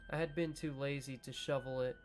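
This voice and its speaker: A man reading a visual novel aloud in a slow, measured way, breaking off into joking asides about his choices. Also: medium pitch, steady tone, faint music behind. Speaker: gaming video creator.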